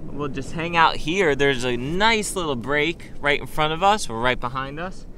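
A young man chatting casually for a travel vlog, loose and relaxed, with lots of fillers, recorded on a camera mic. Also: fairly high pitch, moderate pace, slight background noise. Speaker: vlogger. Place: inside a truck, then outdoors on a beach.

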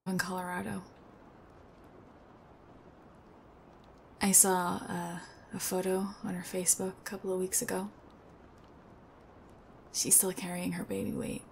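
A woman's voice: lowish voice, level tone, moderate pace, creaky, a little mellow, slight background noise. A young woman playing a nervous, chatty, strange young female thief in an intimate ASMR roleplay, with a hesitant, rambling, awkward delivery. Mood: subdued, wistful.

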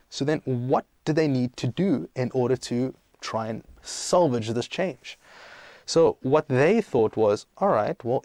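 A man's voice: medium pitch, darkish tone, no room sound. A young man from South Africa and Greece who gives conversational interview answers as a startup boss, explaining emphatically and posing questions that he then answers himself. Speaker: startup CEO, podcast guest.

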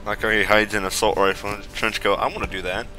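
Low-voiced man, crude gameplay commentary. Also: little pitch movement, fast talker, pushed and bright, rough recording. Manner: crude, swearing, sarcastic. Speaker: video game player commentator. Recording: game music and effects behind. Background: video game music and sound effects.

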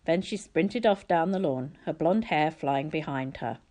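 A woman's voice: low-pitched, lively intonation, unhurried, dark tone. A woman narrating a first-person story from a book as an audiobook reader.

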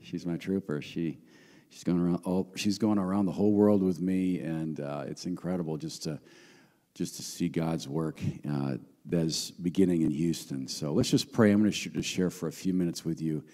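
A middle-aged visiting pastor with a handheld mic, his voice going hoarse, speaking earnestly with many fillers. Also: low-pitched, moderate pace, big-room sound. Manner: warm, earnest greetings and prayer with frequent fillers. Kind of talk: sermon. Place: large church hall, on stage. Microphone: handheld microphone.

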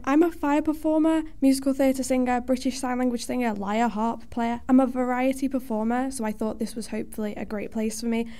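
Young Scouse woman, high and mellow. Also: steady tone, fairly quick, hardly any pauses. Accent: Liverpool Scouse. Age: young adult. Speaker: student guest. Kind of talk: casual interview chat.

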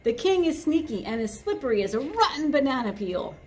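A woman voicing an indignant male monkey from a picture book, delivering a high, agitated protest speech. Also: big pitch swings, brisk.

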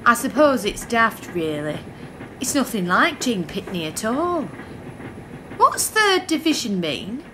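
A woman's voice: fairly high pitch, big pitch swings, slow, noisy background. An actress playing a naive, worrying young woman new to football in a radio play, plaintive and questioning. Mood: doubtful.

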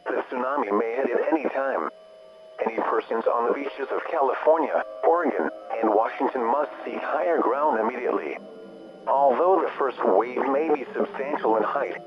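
A man's synthetic-style voice playing an impersonal weather service alert announcer, reading a formal bulletin in a measured monotone. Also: medium pitch, vocal fry, lo-fi sound. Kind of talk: formal scripted emergency bulletin.

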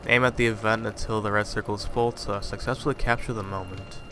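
A man's voice: fairly low pitch, steady tone, quick pace, noisy background. A man playing a horror game, swearing and muttering casual asides, still slightly congested from a cold. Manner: profane, jumpy, muttering asides. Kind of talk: casual commentary.

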